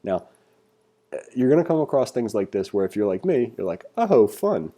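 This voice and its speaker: A man's voice: mid-range voice, very animated, normal speed, mellow. A man giving a casual screencast tutorial, reading along and thinking aloud in an informal, conversational way as an instructor.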